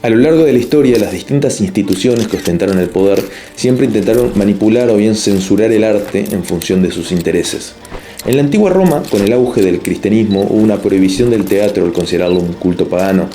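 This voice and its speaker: Hombre de voz grave y cálida. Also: male voice, on the low side, a little fast, warm tone, slight background noise. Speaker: video essay narrator. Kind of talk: scripted narration.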